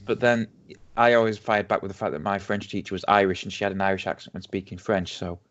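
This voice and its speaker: A man with a Yorkshire accent co-hosting a casual whisky tasting podcast. He reads tasting notes slowly and teases his friend. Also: fairly low pitch, fairly even intonation, a bit bright. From Yorkshire, England. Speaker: podcast co-host. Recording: dull lo-fi home podcast audio.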